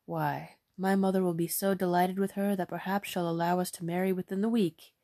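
A woman voicing a kind, courteous young prince who speaks politely and a little louder than her narration. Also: on the low side, fairly even intonation, a little fast, dry recording, clean studio sound, natural voice.

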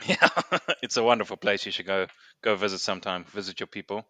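Deep-voiced man with a lisp. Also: steady tone, brisk, bright, forceful tone, no room sound. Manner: laid-back, self-mocking, trails off. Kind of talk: casual banter.

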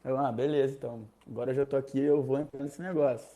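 A young man from Rio de Janeiro with a Carioca accent, casually telling a personal story, animated and slangy, acting out other people's lines. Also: medium pitch, quick pace. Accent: Carioca Brazilian Portuguese. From Rio de Janeiro, Brazil.

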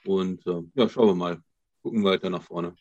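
A man's voice: low voice, fairly even intonation, moderate pace, darkish tone, no echo, dull audio. A man co-hosting a podcast, talking casually. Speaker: podcast co-host. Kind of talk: casual chat.

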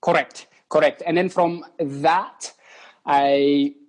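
A young man from East Timor, a guest on an interview, speaking as an in halting phrases with many restarts. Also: on the high side, fairly even intonation, darkish tone. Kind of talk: casual interview.

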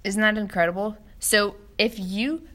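A woman's voice: medium pitch, steady tone, a little slow, a bit bright. A woman co-hosting a podcast in casual, rambling chat, laughing often. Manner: chatty, giggly, rambling. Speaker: podcast co-host.

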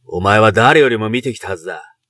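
A man's voice: fairly low pitch, quick pace, a bit bright. An actor playing a proud middle-aged noble commander and father in a Japanese period audio drama, speaking in archaic, imperious samurai language. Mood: stern, guarded.

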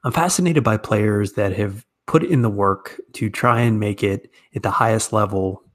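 A man's voice: on the low side, moderate pace. A man with an American accent, speaking as a podcast host and interviewer. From United States. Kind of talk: podcast introduction and conversational interview.

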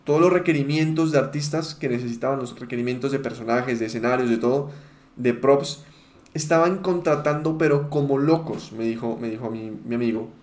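A man's voice: mid-range voice, fairly quick, a little mellow. A man hosting a casual podcast chat in who leads the talk and laughs often. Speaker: podcast host. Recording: echoey room.

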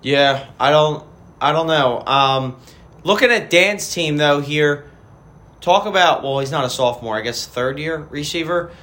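A man hosting a casual fantasy football podcast, his voice raspy from a weekend party, rambling with frequent restarts. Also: a little high, measured pace, fairly bright tone. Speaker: podcast host.

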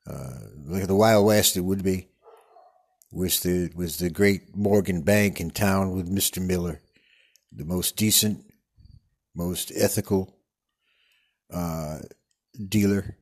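A slightly low-voiced old cowboy actor with a Texan accent, as a man puts him on. He tells long, wandering tales of the desert, full of restarts and stammered repeats, and keeps straying from his point. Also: darkish tone, lightly acted. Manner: meandering, digressive storytelling with many stumbles. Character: rambling old male Western TV actor. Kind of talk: improvised comedic character monologue. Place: studio.

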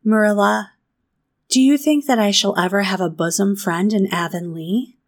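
A woman's voice: mid-range voice, moderate pace, pitched above the performer's own voice, natural voice. A woman voicing an eager, talkative eleven-year-old orphan girl, earnest and pleading. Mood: dreamy, eager. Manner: earnest, pleading, breathless with feeling.

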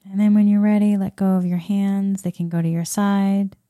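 A woman guiding a meditation in a soft, soothing way, with long pauses. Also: mid-range voice, level tone, dry recording, studio quality. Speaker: meditation guide. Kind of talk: guided meditation.

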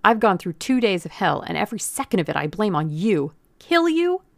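A woman voicing a desperate, defiant armed man in an audiobook, blunt, forceful and threatening. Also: fairly low pitch, animated, a little fast, creaky, no echo, clean studio sound. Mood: enraged. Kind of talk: audiobook character acting.

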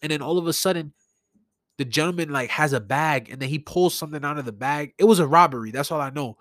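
A man with a Brooklyn accent and a slightly high voice talks solo in a casual, slangy way. He rants and tells stories with animation, like a podcast host chatting to listeners. The sound is close and dry. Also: quick pace, no room sound. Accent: Brooklyn New York. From New York City (Brooklyn). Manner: animated, informal, slangy, acts out conversations. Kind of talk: casual solo rant and storytelling.

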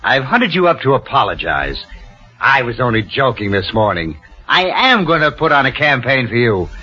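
A man playing an anxious, scheming smooth talker, urgent and insistent, in an old-time radio drama. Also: mid-range voice, very animated, moderate pace, pushed and bright, faint music behind, heavily acted. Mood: eager, apologetic. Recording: muffled old radio recording.

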